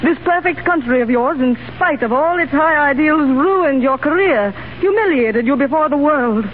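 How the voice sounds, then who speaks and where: An earnest young Russian revolutionary who speaks formally, in a high voice. The sound is tinny and thin, with clear hiss, like a 1930s radio broadcast. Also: female voice, lively intonation, normal speed.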